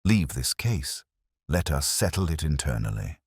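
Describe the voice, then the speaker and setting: A man voicing a grey-haired, cautious senior government official in an audiobook, measured, careful and formal. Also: rumbling low, big pitch swings, husky, no room sound, clean studio sound, exaggerated voice. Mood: pleading.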